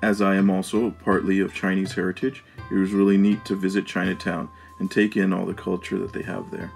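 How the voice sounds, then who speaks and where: Deep-voiced man narrating a travel vlog. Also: flat delivery, brisk, gravelly, soft background music.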